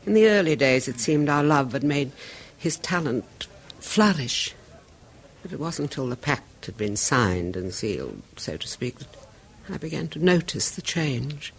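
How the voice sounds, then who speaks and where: Husky, gravelly wife of a haunted musician; intense, confiding, lively rises and falls. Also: female voice, sits low, measured pace, exaggerated voice. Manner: intense, confiding, drops to hushed whispers. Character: anguished wife of a tormented violinist. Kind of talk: 1970s radio drama acting.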